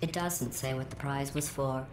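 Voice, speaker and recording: A woman playing a coolly menacing game computer, deadpan and passive-aggressive, with synthetic robotic processing. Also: extremely low pitch, moderate pace, roomy sound, background noise. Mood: smug taunting. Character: coolly menacing female artificial intelligence taunting a test subject.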